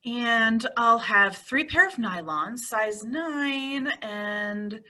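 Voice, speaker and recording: A woman playing a sensible, exasperated young woman with a wry, brisk manner in an old-time radio comedy. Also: fairly high pitch, animated, pushed and bright, heavily acted.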